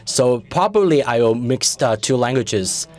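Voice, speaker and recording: A man giving live interpretation of a conference speech, halting, with frequent fillers. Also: mid-range voice, animated, a little mellow. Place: conference hall.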